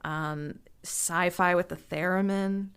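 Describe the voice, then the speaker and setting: A woman's voice: fairly low pitch, steady tone, slow pace, fairly bright tone, clear, resonant tone. A woman co-hosting a movie podcast, chatting casually and walking through production details with lots of fillers. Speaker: podcast co-host. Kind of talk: casual film discussion.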